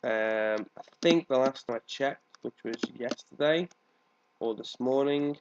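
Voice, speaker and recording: A man's voice: medium pitch, measured pace, noisy background. Congested man casually narrating gameplay, dull audio. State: congested with a cold, coughing now and then. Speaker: gaming video host. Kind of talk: casual let's play commentary. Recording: dull, lo-fi microphone sound.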